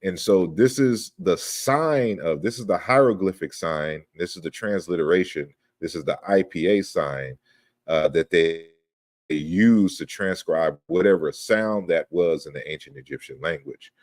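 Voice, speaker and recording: Man, very low voice, dry close sound, patient step-by-step lecture, slide walkthrough. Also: unhurried, darkish tone, dry recording. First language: not Yoruba. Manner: explains step by step, often checks with "right?". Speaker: lecturer on language history. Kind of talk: online lecture over slides.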